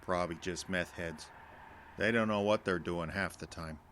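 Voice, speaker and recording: A man's voice: low-pitched, moderate pace, fairly bright tone, slight background noise. An American man playing a bitter, paranoid ex-soldier in an audio drama, curt and brooding with folksy rural grammar. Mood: guarded. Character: bitter, paranoid male ex-soldier, gruff and defensive.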